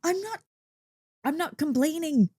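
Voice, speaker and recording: Excitable, mellow-voiced dog-girl played by a woman. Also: high-pitched, unhurried, no echo, studio quality. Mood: playfully indignant. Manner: gushing, teasing, playfully indignant. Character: excitable, playful monster dog-girl girlfriend who adores Halloween. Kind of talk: ASMR roleplay, one-sided conversation.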